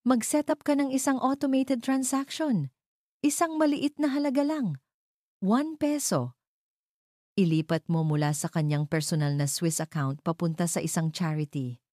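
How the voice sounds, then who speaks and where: A woman's voice: on the low side, moderate pace, a little mellow, no echo, studio quality, pitched above the performer's own voice, close to an everyday voice. A woman with a Filipino accent voicing a cold, vengeful wealthy wife who gives commanding orders in a story. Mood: scheming.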